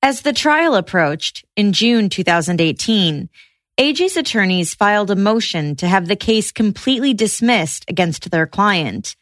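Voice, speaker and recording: A woman hosting a podcast, narrating a true-crime case in steady scripted storytelling. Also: medium pitch, a little slow, no room sound.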